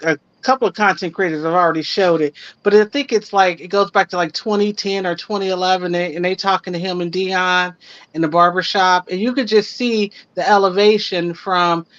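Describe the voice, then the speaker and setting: A woman's voice: lowish voice, fairly even intonation, a little fast, a bit bright. A woman panelist chatting casually and gossiping on a livestream. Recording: slightly muffled livestream audio.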